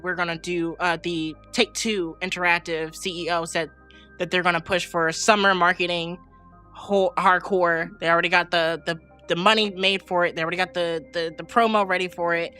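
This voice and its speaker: A woman with a bright, forward voice pitched a little low, chatting casually as a podcast co-host. She has a slight cold and talks in an emphatic, slangy way, with faint music behind her. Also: steady tone, brisk. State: slight head cold with sniffles. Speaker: podcast co-host and game streamer. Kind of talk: casual unscripted podcast chat.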